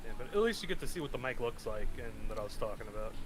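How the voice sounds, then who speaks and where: A man's voice: mid-range voice, big pitch swings, rapid-fire, noisy background. A man chatting casually as a livestream co-host, slangy and given to swearing. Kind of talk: casual chat. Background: background music.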